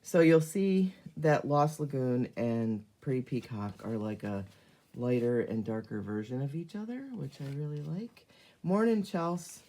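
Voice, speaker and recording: Middle-aged woman craft host, hoarse, very low and mellow, chatty and filler-heavy. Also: measured pace. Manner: chatty, many ums, greets viewers by name. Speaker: card-making demonstrator hosting a live stream. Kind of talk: casual live craft demonstration.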